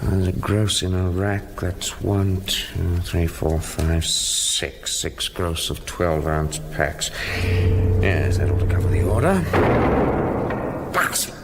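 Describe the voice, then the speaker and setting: An actor playing a grumbling middle-aged British factory boss in a radio drama, muttering in a clipped, matter-of-fact way. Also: male voice, sits low, expressive, takes it slowly, noisy background, exaggerated voice.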